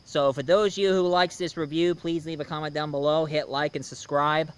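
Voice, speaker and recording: A man in his thirties giving a casual, unscripted review as the host, haltingly, often searching for words and repeating himself. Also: fairly high pitch, fairly even intonation, clean tone.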